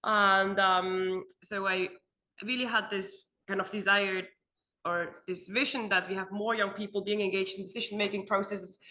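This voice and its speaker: Young swiss woman on a panel, earnest, lots of ums and likes, bright voice. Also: medium pitch, flat delivery, normal speed. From Switzerland. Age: young adult. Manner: earnest, with frequent fillers like "um" and "like". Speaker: panel speaker. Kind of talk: roundtable talk. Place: conference room.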